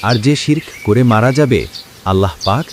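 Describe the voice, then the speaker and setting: A man playing a kindly village imam in a cartoon, teaching children in a gentle, encouraging, didactic way over noisy ambience. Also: mid-range voice, fairly quick, natural voice. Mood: earnest, instructive. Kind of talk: scripted cartoon dialogue and Quran recitation.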